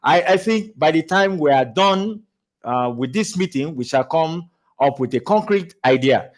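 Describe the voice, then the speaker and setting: A man giving a motivational talk on public speaking, animated, with lots of restarts and repeated words, laughing as he goes. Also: fairly high pitch, measured pace. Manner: laughs while talking. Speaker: speaking coach.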